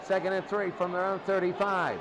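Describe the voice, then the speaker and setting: High-pitched male football announcer over loud noise. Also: fairly quick. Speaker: play-by-play sports announcer. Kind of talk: American football radio commentary. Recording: loud steady noise behind the voice.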